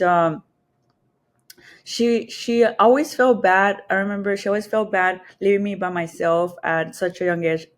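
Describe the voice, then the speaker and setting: A woman speaks with a Mexican Spanish accent in a medium-pitched voice. She is a podcast guest sharing personal stories in an informal, candid way, working out her words as she talks. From Texas and Mexico border region. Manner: candid, informal, thinking aloud. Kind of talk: casual personal storytelling.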